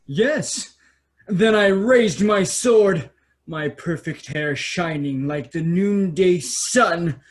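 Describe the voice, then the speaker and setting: A man's voice: high-pitched, drawn-out words. A man playing a vain, boastful young fairy-tale prince in a staged reading, pompous and self-admiring. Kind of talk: staged reading of children's theater.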